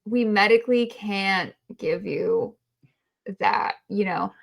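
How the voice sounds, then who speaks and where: A woman's voice: mid-range voice, takes it slowly, creaky. A woman co-hosting a casual review podcast, giving opinions in a blunt, insistent way. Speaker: podcast co-host.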